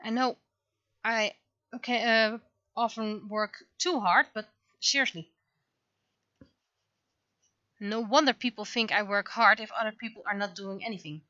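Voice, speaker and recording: A woman livestreamer chatting casually and venting in an animated, exasperated way, acting out imagined exchanges as she goes. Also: medium pitch, moderate pace, dry recording, highs cut off. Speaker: livestreamer, webcomic artist. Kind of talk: casual livestream chat, ranting.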